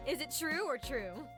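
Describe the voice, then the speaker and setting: A woman voicing a peppy, teasing, studious younger schoolgirl in an amateur visual novel fandub, with a lively girlish manner. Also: sits high, full character voice. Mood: playful teasing.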